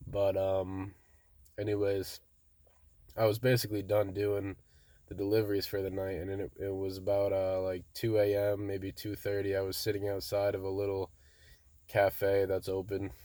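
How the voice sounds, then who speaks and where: A young man casually telling a story to his viewers in a monotone, laid-back way, often saying "bro". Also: low voice, moderate pace, no echo. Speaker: vlogger.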